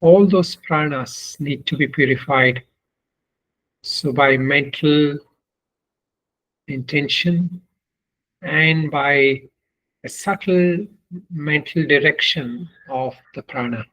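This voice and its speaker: A man leading a guided meditation as a spiritual teacher, speaking in short, deliberate phrases with long pauses. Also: on the high side, a bit bright, low-quality recording. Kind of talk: guided meditation, spoken instruction.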